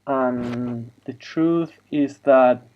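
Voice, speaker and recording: A man whose first language is Spanish, talking in a halting, wandering monologue over a video call. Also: mid-range voice, dull audio, slight background noise. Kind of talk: rambling monologue.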